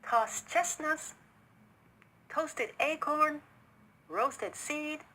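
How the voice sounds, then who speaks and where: A woman's voice: high-pitched, extremely slow, bright, forceful tone, voice pushed up, exaggerated voice. A woman voicing a hospitable, easily amazed male country chipmunk in a children's storybook, with an eager, exclaiming manner. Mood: proud.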